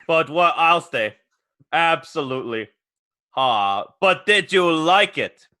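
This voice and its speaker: A man's voice: a little high, lively intonation, takes it slowly, sharp tone. A man playing a tabletop game, stammering and pushing to move on. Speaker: player. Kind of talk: casual tabletop play chat.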